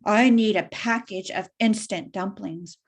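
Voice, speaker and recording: A woman plays a smooth-talking gentleman fox from a storybook. The voice is dropped lower and silky, suave and coaxing, with a sly edge. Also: a little slow. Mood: sly, purposeful.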